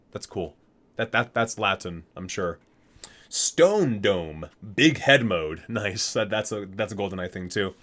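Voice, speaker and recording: A man's voice: on the low side, a bit bright. A man hosting an ASMR video, reading aloud from a guidebook with theatrical emphasis on titles and casual muttered asides.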